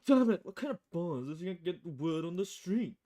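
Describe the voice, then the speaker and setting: Woman voicing a mellow, grumbling male private detective. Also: fairly low pitch, expressive, brisk, pitched above the performer's own voice. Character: gruff, impatient male private detective fishing for information. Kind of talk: visual novel character voice, film noir dialogue.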